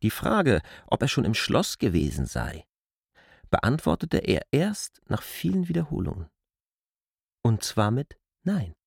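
Male literary narrator for radio drama, lively intonation, slightly low, dry acoustic. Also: unhurried, gravelly, husky, no room sound.

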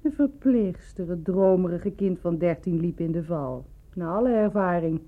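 An actress in a radio play voicing a probing, sardonic, disillusioned woman with a husky voice and a pointed way of questioning. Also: on the low side, animated, moderate pace. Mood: resigned, sardonic. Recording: thin, phone-like old radio recording.

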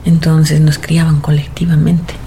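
A woman's voice: low voice, flat delivery, a little slow, warm tone, slight background noise. A woman with a Bolivian accent, a singer-songwriter talking reflectively and conversationally in an interview. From Bolivia, mining camps.

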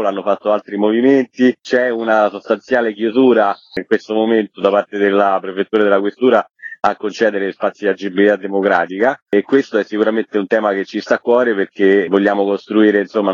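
A man's voice: fairly low pitch, no room sound, dull audio. A man speaking as an activist guest in a radio interview, making a political appeal. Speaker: activist guest, spokesman for show-business workers.

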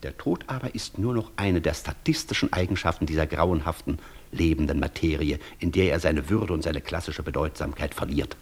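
An actor playing an intense, persuasive professor in a German radio play, declaiming and lecturing insistently with a husky voice. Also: male voice, rumbling low, a little fast, heavily acted. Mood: fervent, grave. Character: intense, persuasive male professor tempting the Devil. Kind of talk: German radio play acting, long rhetorical speeches.

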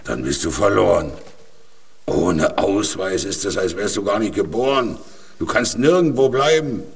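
An actor in a children's radio play, playing a resigned, weary old vagabond with a rough, husky voice and a plain-spoken manner. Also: male voice, deep voice, lively intonation, a little slow, recorded from a distance, full character voice.

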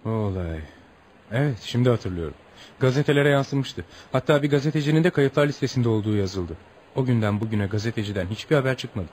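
Man acting a courteous, quick-talking visiting journalist. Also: medium pitch, lively intonation, darkish tone, lightly acted. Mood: intrigued. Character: courteous, patient American newspaper journalist, grown man. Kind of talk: Turkish radio theatre acting. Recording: noisy radio play recording.

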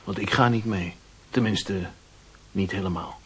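A fat, crooked Chinese merchant for a radio drama. His voice is low and husky, and he speaks deliberately, with sardonic, taunting wisdom. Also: fairly bright tone, full character voice. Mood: mocking, amused. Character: fat, shady Chinese merchant and fraudster, mocking, philosophical. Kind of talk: radio play acting.

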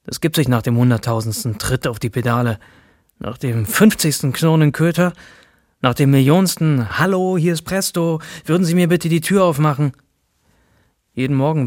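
A man's voice: a little high, no room sound, clean studio sound, natural voice. An actor playing a sardonic, streetwise bike courier narrating his job in a radio drama. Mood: exasperated, wry. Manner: colloquial, sardonic. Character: wry, streetwise male bike courier telling his story. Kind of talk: dramatic first-person narration.

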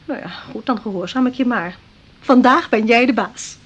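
A woman's voice: a little high, normal speed, slightly rough, lo-fi sound. An actress playing a fussy, controlling mother in a radio play, fretting and bossing her daughter. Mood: defensive. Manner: fussing, bossy, fretful.

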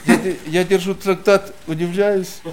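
A man preaching and telling a personal story in short phrases, pausing after each so it can be interpreted. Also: high voice, fairly even intonation, a crowd in the background. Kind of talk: sermon testimony, interpreted line by line.

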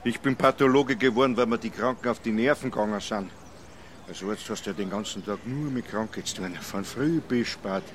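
An actor playing a cynical, world-weary police pathologist in a radio drama, dry and matter-of-fact. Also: male voice, fairly low pitch, a little fast, slight background noise, close to an everyday voice.

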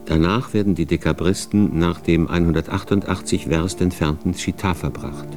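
A man's voice: low voice, steady tone, moderate pace, a little mellow, soft background music. A man narrating factual, scripted documentary passages in a radio drama in a sober manner.

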